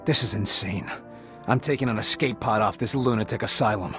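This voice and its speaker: A man's voice: fairly low pitch, brisk, fairly bright tone, lightly acted. An actor playing a nervous, self-serving, indignant crewman in a sci-fi video game, over music. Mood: exasperated. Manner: pleading, indignant.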